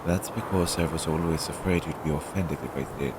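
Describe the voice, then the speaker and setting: A man playing an anxious, self-doubting magical familiar in an audio roleplay, speaking hesitantly and fretfully to his master. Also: fairly quick. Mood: reluctant, wistful. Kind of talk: scripted audio roleplay, one-sided dialogue. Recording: low-fidelity audio with clear background noise.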